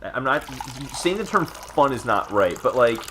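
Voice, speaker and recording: Young man, twenties, podcast co-host, casual gaming chat, rambling, mid-pitched voice. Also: sing-song, a little slow, pushed and bright, slight background noise. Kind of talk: casual chat about video games.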